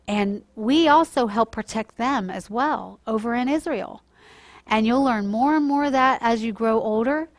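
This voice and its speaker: A woman telling a Bible story to children, explaining it simply and deliberately as a church storyteller. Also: mid-range voice, no room sound. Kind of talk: read-aloud children's story with informal moral teaching.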